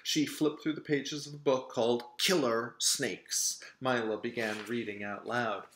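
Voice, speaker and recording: A man reading a children's story aloud in an expressive way, as the author, with asides to show pictures. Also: mid-range voice, unhurried. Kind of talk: animated children's storybook narration. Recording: roomy, echoing sound.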